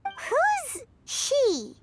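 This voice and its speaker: A woman voicing a tiny, childlike fairy companion in a video game, squeaky, curious and eager. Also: huge pitch swings, very slow, over music, heavily acted. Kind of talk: video game cartoon voice acting.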